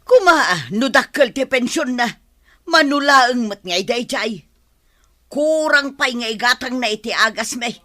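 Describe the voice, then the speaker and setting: A woman's voice: fairly high pitch, lively intonation, unhurried, bright, forceful tone, no room sound, clean studio sound. A woman playing a fretful, scolding older mother with an Ilocano accent in a radio drama. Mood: grumbling.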